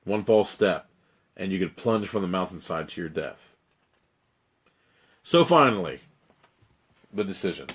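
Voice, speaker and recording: Streamer host, male, lowish and raspy; dramatic reading mixed with rambling chat. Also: animated, a little slow, long pauses. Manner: dramatic narration, then loose rambling chat. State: raspy, strained voice. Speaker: host reading a choose-your-own-adventure book. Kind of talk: live-stream storytelling and chat.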